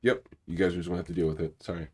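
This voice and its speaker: A man hosting a casual live coding session. He is sick, and his naturally low, rumbly voice sounds raspier than usual. He rambles through the work with many fillers and mutters to himself. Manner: rambling, filler-heavy, mutters while clicking around. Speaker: cybersecurity channel host. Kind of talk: casual live coding walkthrough, reading viewer questions.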